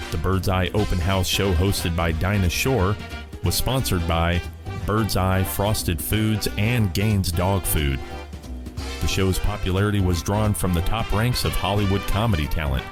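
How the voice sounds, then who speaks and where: A man hosting a radio program, reading scripted introductions. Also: deep voice. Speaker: radio host. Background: music.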